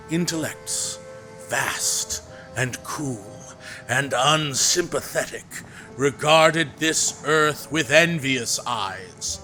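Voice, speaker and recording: A young theatre director who reads aloud in an unhurried, dramatic way. His voice sits fairly high and he takes his time over every phrase. Soft music plays faintly underneath. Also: full character voice. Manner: slow, dramatic reading aloud. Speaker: actor. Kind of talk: audio drama acting.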